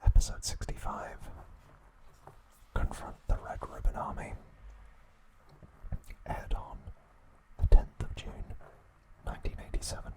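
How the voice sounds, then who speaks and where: A man whispers his way through ASMR narration at a very slow pace. He speaks deliberately, breaking sentences into short phrases with pauses between them. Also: poor audio. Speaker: ASMR host.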